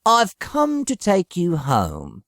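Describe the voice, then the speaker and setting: A woman's voice: on the low side, huge pitch swings, slow, vocal fry, no echo, studio quality, exaggerated voice. A woman playing a polite but insistent carriage driver in a dramatised audiobook. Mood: courteous, calling out.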